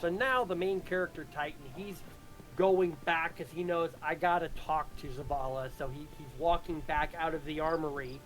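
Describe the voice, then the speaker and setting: A man casually recapping a story he wrote on a livestream, rambling and repeating words as he explains. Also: on the high side. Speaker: writer of an audio drama.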